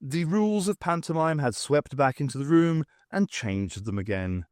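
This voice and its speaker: Animated male audiobook narrator. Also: on the high side, normal speed, dry recording, studio quality. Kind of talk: scripted narration, expressive.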